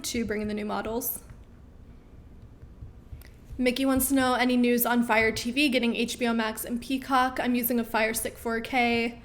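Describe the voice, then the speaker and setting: A woman in her thirties chats casually through viewer questions on a live stream, upbeat and full of ums. Her voice sits fairly high and is starting to wear out. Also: slight background noise. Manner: chatty, upbeat, with frequent ums. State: losing her voice.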